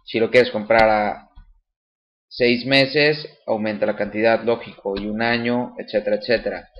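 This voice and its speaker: A man's voice: on the low side, fairly even intonation, moderate pace, muffled recording. A man casually explaining a website step by step, with fillers and restarts. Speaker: channel host demonstrating a website. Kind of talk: casual screen-recorded tutorial.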